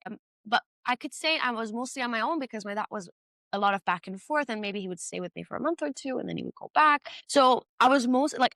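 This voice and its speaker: A young woman from Iran speaks as a guest in a relaxed, reflective chat. She is candid and thoughtful, and her talk is full of ums and restarts. The sound is close and dry, with no room echo. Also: on the high side. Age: 20s. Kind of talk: casual conversation.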